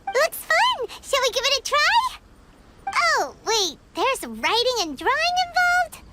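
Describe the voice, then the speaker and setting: A woman's voice: huge pitch swings, slow pace, very noisy, heavily acted. A woman voicing a tiny, chirpy female fairy companion who talks about herself in the third person, in game dialogue. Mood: excited. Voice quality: high and squeaky. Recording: game audio with loud music behind.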